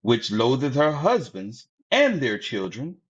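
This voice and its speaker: Male scripture reader, lively intonation, measured; muffled lo-fi audio. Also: medium pitch. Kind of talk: reading scripture aloud. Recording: dull lo-fi audio.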